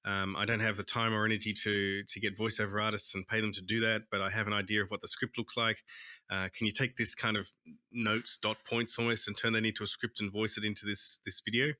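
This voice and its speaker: A man's voice: fairly low pitch, flat delivery, a little fast, pushed and bright, dry recording, studio quality. A man with an Australian accent, a guest in an interview, talking in a hesitant way with many restarts and fillers. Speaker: guest, tech founder. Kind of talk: conversational interview.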